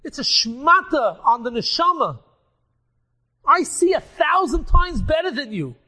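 A man voicing the spirit of a departed rabbi who speaks earnestly and explains gently. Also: very high-pitched, big pitch swings, unhurried, pitched above the performer's own voice, heavily acted. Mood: serene. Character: departed male rabbi, calm and wise, appearing in a dream.

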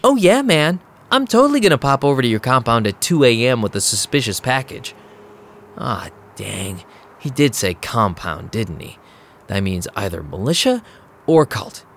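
A man's voice: mid-range voice, big pitch swings, normal speed, a bit bright, a little hiss or hum. A man playing a wry, streetwise vampire DJ, narrating an audio drama in a sardonic, casual way. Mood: sarcastic, wary.

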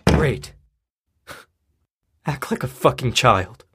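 A frustrated but concerned young boyfriend in an audio drama. The voice is extremely low and husky. The delivery is casual and blunt, with an exasperated edge. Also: female voice, animated, takes it slowly, heavily acted. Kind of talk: naturalistic audio drama acting.

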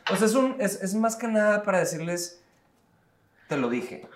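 A man's voice: high-pitched, lively intonation, moderate pace, echoey room, very noisy. A man with a Mexican Spanish accent, co-hosting a podcast, joking and sarcastic in a slangy casual chat with friends. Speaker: podcast co-host. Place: studio bar set.

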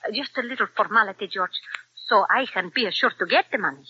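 A coaxing fascist spy. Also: female voice, mid-range voice, fast, bright, forceful tone, dry recording. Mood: scheming. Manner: smooth, coaxing, formal foreign phrasing. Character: scheming woman fascist agent, foreign-accented, coaxing. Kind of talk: 1940s radio acting. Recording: dull old radio recording.

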